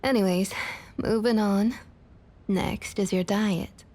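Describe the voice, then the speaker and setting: A woman playing a slow, husky Southern vampire lady. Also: medium pitch, fairly even intonation, warm tone. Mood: businesslike, smooth. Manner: condescending, drawling pet names, slow and deliberate. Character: domineering, patronizing Southern vampire councilwoman, sweetly menacing. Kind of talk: ASMR roleplay monologue.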